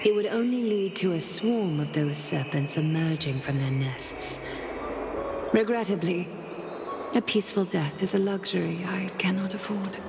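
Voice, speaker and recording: An actress playing a dignified, composed woman leader in a game, speaking formally and tenderly in a solemn, measured way. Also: on the low side, gravelly, noisy background. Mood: solemn resolve. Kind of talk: scripted dramatic game voice acting, monologue. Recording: echoey hall reverb with music behind. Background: music.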